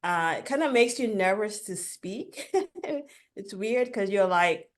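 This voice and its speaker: Animated woman guest telling her story. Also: medium pitch, takes it slowly. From Africa. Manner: animated, laughs between points. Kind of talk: casual interview storytelling.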